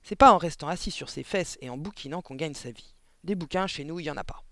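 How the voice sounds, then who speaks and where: A man's voice: a little high, fast talker, sharp tone, no echo. A man voicing a rude, impatient father in a storybook, grumbling and barking in slangy speech. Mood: defensive. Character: gruff, rude, impatient small-time father.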